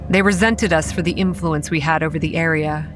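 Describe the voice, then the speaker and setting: A woman's voice: low voice, steady tone, brisk, music in the background, close to an everyday voice. A woman playing a guarded, hot-tempered young campground manager who narrates and speaks in a horror audio drama, earnest with a sardonic edge. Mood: brooding. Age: young adult. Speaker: narrator and lead character.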